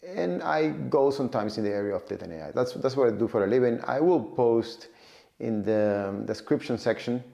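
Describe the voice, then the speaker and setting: A man in his forties with a Cuban accent talks casually to camera. His voice has a gravelly edge, and his pitch sits in the middle for a man. He moves forward haltingly, filling gaps and repeating words as he thinks. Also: expressive, mellow. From Cuba. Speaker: data and AI tech professional. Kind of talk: casual personal talk to camera.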